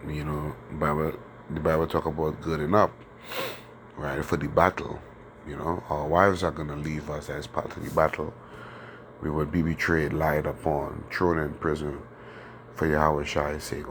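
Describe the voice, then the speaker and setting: A man teaching an informal scripture lesson, hoarse from a sore throat, talking in a loose, conversational way with many fillers and tag questions. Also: very deep voice, slight background noise. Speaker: teacher of a religious group.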